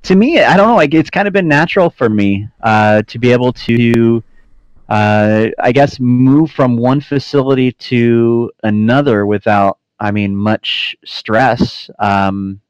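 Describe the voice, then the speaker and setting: A man's voice: mid-range voice, measured pace, clear, resonant tone, no room sound. A man talking as a podcast guest, explaining things casually and correcting himself as he goes, on slightly lo-fi audio. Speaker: podcast guest, flight-sim air traffic controller and trainer.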